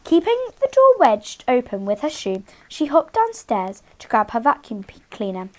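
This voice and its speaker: A woman hosting storytime, speaking to young children in an expressive read-aloud style with dramatic emphasis on key words. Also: on the high side. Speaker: children's storytime host and reader.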